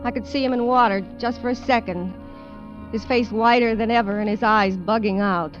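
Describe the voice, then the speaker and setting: An actress plays a greedy, stranded American woman in a vintage radio play. Her voice is high and her storytelling plain and brooding. Music plays behind the muffled old recording. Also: moderate pace, natural voice. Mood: haunted confession. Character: desperate greedy woman stranded alone on a derelict ship. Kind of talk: 1950s radio drama acting, first-person confession.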